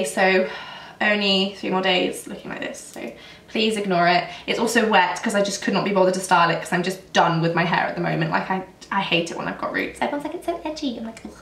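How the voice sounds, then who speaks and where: A young woman in her twenties, losing her voice and croaky, chatting casually and self-mockingly through a makeup tutorial. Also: mid-range voice, a bit bright, echoey room, recorded from a distance. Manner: chatty, self-mocking, rambling with many ums. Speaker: beauty vlogger. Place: indoors, plain grey backdrop.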